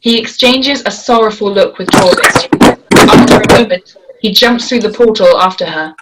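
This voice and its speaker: A woman narrating stage directions at a table read, in a measured, descriptive way. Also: mid-range voice, heavy background noise.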